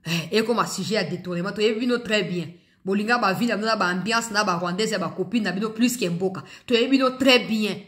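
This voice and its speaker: A woman's voice: mid-range voice, a little fast, a bit bright. Congolese lady doing political news commentary, mid-range voice, emphatic, repeats stuff for stress, flips between two languages. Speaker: host of an online political news commentary show. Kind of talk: opinionated political commentary, speaking directly to viewers.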